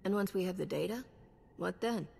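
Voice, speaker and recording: An actress playing a cool, confident sci-fi hero in a video game, with a dry, self-assured delivery. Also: female voice, medium pitch, fairly quick, vocal fry, a little mellow, breathy edge, big-room sound. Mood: questioning. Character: cool, confident female space hero, dryly wry. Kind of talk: video game cutscene acting.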